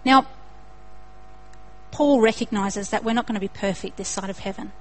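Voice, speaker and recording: A woman's voice: mid-range voice, normal speed, low-quality recording. A young woman with an Australian accent preaching a sermon in an earnest way, building to emphatic repetition.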